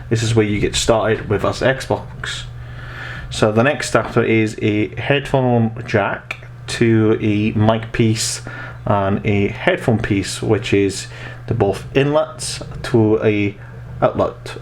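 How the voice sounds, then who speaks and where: A man in his twenties giving a casual step-by-step tech tutorial, rambling and often repeating his phrases. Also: fairly low pitch. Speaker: host of a tech tutorial channel. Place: small room at home, indoors. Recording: noticeable background noise.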